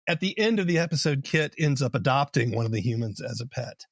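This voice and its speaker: A man's voice: medium pitch, animated, brisk, creaky, no echo, clean studio sound. A man hosting an interview podcast, speaking in an articulate, analytical way as he sums up and comments. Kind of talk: conversational interview with prepared summaries.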